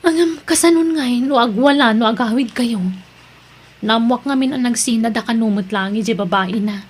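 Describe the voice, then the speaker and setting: A woman's voice: fairly high pitch, moderate pace, breathy edge. A woman playing a caring, devout, chatty friend in an Ilocano drama, with an Ilocano accent. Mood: caring, inquisitive. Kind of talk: Ilocano radio-style drama acting.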